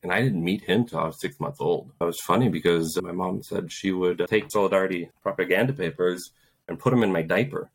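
A man's voice: low-pitched, fairly quick, creaky, breathy edge. A man in his thirties, raised in Poland, a soldier and author telling earnest, reflective stories as an interview guest. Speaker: guest, Green Beret and author. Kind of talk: casual interview storytelling.

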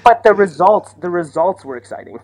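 A Canadian man giving casual, opinionated sports analysis in an animated, colloquial way, as a podcast co-host. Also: high voice, fairly quick, poor audio. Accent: Canadian English. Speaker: podcast co-host and ski commentator.

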